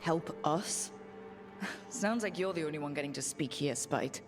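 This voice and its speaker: A composed heroine in a video game, wry and firm. Her voice sits very low for a woman, dry and questioning, with music clearly behind it. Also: measured pace. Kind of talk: video game character dialogue.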